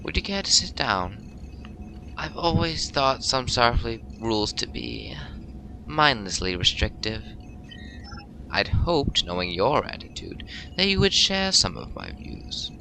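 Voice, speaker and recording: An eccentric feline alien petty officer played by a man in an audio drama, with a bright, sharp voice that swings widely in pitch. He is aloof and insolent, choosing his words with formal, wry precision. Faint noise sits behind him. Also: big pitch swings, takes it slowly, vocal fry, pauses often, heavily acted, rough recording. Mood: wry disdain. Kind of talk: fan audio drama acting.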